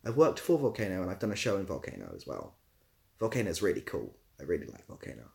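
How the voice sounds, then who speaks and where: A man with a fairly low voice chats informally on a livestream. He rambles as he replies to comments, often hesitating to find the right word. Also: huge pitch swings, fast, vocal fry, a little mellow. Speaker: ASMR creator hosting a livestream; theatre assistant producer. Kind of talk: casual livestream chat, answering viewer comments.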